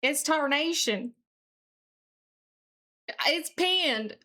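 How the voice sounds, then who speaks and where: A woman livestreamer chatting casually and reading aloud in an exaggerated, put-on deep Southern US accent, with a playful, folksy manner. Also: lively intonation, drawn-out words, pushed and bright. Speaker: live streamer.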